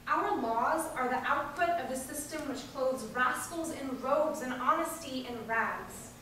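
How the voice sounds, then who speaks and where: An impassioned populist woman orator, read out by a woman, denounces the powerful in a high voice. The delivery is rhetorical and emphatic, heard from a distance in a very echoey hall with faint noise. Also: sharp tone. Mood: accusing, emphatic. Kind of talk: declaimed political speech read aloud.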